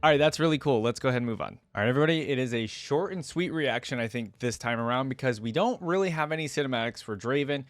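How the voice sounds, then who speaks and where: A man giving casual reaction commentary as a video host, with an animated, informal and exclamatory way of talking. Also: medium pitch, fast, few pauses, dry recording.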